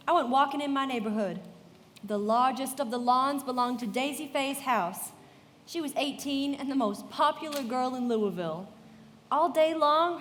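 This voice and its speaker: A woman playing a poised young society woman who tells a story in measured, confiding narration in a live radio play, in a reverberant hall with music behind. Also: high voice, a little hiss or hum, lightly acted.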